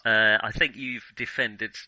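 A man's voice: on the low side, fairly even intonation, a little slow, bright, forceful tone, no room sound, rough recording. A man in a casual film discussion as a podcast host, talking in a hesitant way and often qualifying what he says.

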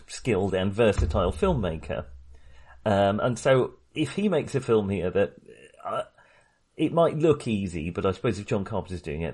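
A man co-hosting a film podcast in casual discussion, talking haltingly and often correcting himself. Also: fairly low pitch, darkish tone, breathy edge. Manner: hesitant, halting, self-correcting.

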